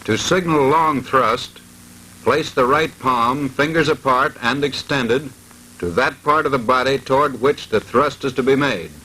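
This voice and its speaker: A man's voice: mid-range voice, a little slow, bright, forceful tone, a little hiss or hum, rough recording. A man reading formal, precise scripted narration in the manner of a 1940s military instructional film.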